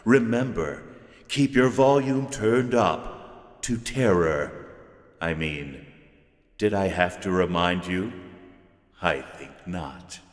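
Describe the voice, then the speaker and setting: An actor playing a pompous, tongue-in-cheek sinister host of an old-time horror radio show. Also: male voice, low-pitched, slow pace, pauses often. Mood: smug, menacing. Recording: long cavernous reverb.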